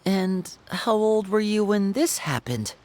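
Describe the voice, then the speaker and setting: A woman's voice: mid-range voice, animated, slow pace, darkish tone, slight background noise. An actress playing a polite young woman in a video game, asking questions in a tentative, concerned way. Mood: hesitant, doubtful. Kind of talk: scripted game dialogue.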